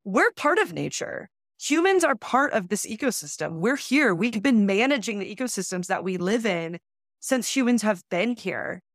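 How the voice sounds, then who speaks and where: A woman's voice: mid-range voice, sing-song, moderate pace, no room sound, studio quality. A woman, a natural resources specialist, explaining fire ecology at a conference panel with plain analogies.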